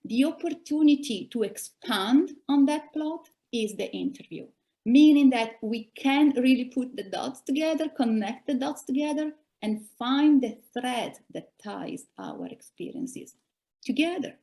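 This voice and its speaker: A woman in her forties from Italy with an Italian accent, giving measured career advice as a coach in a webinar talk. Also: high-pitched, big pitch swings, warm tone. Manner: measured, advisory, addresses listeners directly. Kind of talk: webinar presentation.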